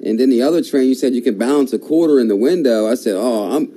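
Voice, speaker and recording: A man's voice: mid-range voice, quick pace, warm tone, a little hiss or hum, rough recording. A man hosting an interview, reacting enthusiastically with frequent exclamations of wow. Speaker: host. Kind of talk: conversational interview.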